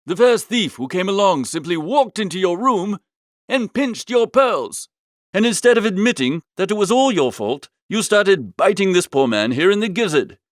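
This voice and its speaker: Man playing a high-pitched, foppish young gentleman. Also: very animated, normal speed, dry recording, studio quality. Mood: severe, indignant. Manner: slangy, earnest, emphatic. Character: foppish young upper-class gentleman, dithering yet indignant. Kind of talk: comic storybook dialogue.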